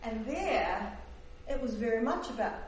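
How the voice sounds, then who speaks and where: A woman's voice: a little high, big pitch swings, unhurried. Hesitant woman historian in echoey hall. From Netherlands, raised in New Zealand. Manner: hesitant, many fillers and restarts. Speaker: historian presenting her book. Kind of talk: academic book talk. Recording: echoey hall, distant mic, faint hiss.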